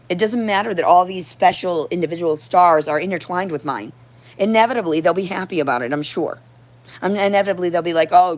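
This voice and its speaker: Hoarse, confrontational woman livestreamer. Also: on the low side. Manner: confrontational, profane, disjointed. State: hoarse throat. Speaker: livestream host. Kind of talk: rambling unscripted livestream monologue.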